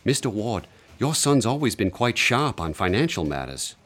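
A proper, fairly low-voiced banker. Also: male voice, very animated, normal speed, fairly bright tone. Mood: puzzled, earnest. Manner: formal, precise. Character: proper, baffled banker reporting to a client. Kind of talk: radio drama acting.

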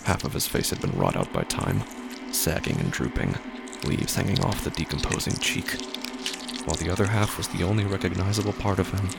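A deep-voiced man with a gravelly creak narrates scripted horror. His delivery is grave and dramatic, dropping toward breathy near-whispers between deliberate pauses. A noisy ambient bed with dripping water sits behind him. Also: animated.

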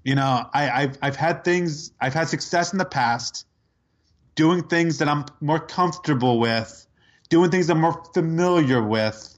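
A man co-hosting a podcast, telling a sports story in casual chat, incredulous and quick to laugh. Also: on the high side, dull audio. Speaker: podcast co-host.

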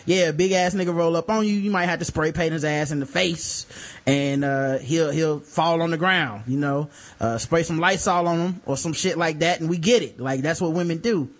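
A man's voice: a little high, normal speed. A man hosting a podcast, telling stories in loose, profane, laughing banter. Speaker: podcast host.

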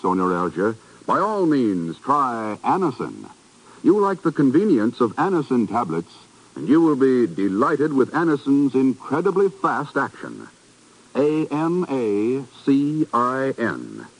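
Animated American radio announcer man, 1950s style. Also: mid-range voice, measured pace, poor audio. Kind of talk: 1950s radio announcing and sponsor pitch, scripted. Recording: vintage 1950s radio broadcast. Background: music.

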